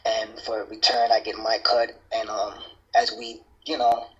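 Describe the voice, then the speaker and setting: A man from New Jersey gives a casual, earnest interview as an actor. His voice is fairly low, strongly husky and tense-edged, and his tone is motivational. The recording sounds a little muffled. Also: little pitch movement, measured pace, pushed and bright. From New Jersey, United States.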